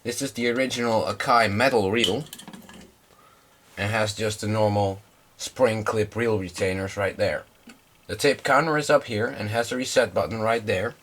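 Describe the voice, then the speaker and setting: A young man presents in English with a fairly low voice. He has a slight cold and talks informally, feeling his way through explanations like a hobbyist showing off equipment. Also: normal speed, a bit bright, a little hiss or hum. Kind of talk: casual product demonstration.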